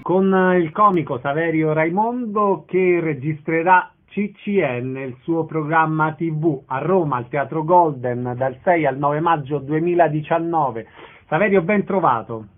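A man's voice: fairly high pitch, a little slow, narrow, tinny audio, soft background music. A man hosting a radio interview, introducing his guest and asking questions.

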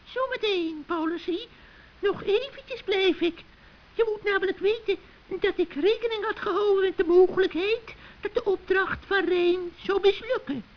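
A performer voicing a gleeful, scheming old witch in a children's radio play, shrill and cackling, with taunting mock sweetness. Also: normal speed. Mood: sly. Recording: dull, muffled old radio recording.

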